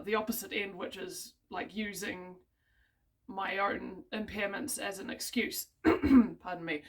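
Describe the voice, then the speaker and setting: A young woman with a New Zealand accent talking casually to camera, hesitant and rambling with many ums and small laughs. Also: mid-range voice. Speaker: vlogger. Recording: voice a bit distant from the mic.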